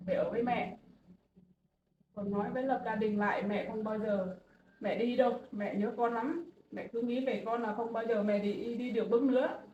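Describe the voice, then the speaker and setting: Woman as repentant mother, soft pleading tone, dull echoey recording. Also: a little high, steady tone, normal speed, far from the mic, slight background noise, close to an everyday voice. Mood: regretful. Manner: tender, softly pleading. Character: remorseful mother reunited with grown son.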